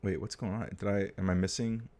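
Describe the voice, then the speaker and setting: A young man from the United States with a very low, deep voice hosts a casual livestream. He rambles through his points with plenty of fillers and restarts, and turns blunt and profane when a topic riles him. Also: steady tone, a little fast, darkish tone. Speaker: livestream host. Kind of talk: casual political chat, reading viewer comments.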